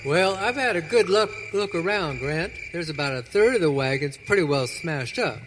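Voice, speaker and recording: A man acts a plain-talking scout in a western radio play. His fairly high voice has a rustic American accent. Hall reverb and clear background noise surround him. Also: animated, normal speed, lightly acted. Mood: reporting. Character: plain, folksy male wagon train scout. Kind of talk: live western radio theater acting.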